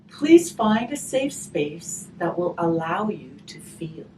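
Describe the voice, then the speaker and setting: Low-voiced woman, distant and noisy recording. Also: big pitch swings, takes it slowly, dark tone. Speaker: hospice volunteer leading a grief session. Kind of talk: gentle spoken session with storybook read-aloud. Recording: distant microphone, clearly noisy room ambience.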